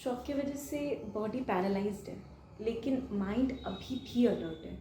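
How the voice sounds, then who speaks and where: A woman's voice: medium pitch, measured pace, mellow, close to an everyday voice. A woman playing a matter-of-fact medic in a comic skit, explaining things in an echoey, slightly noisy room. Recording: echoey room, faint ambient noise.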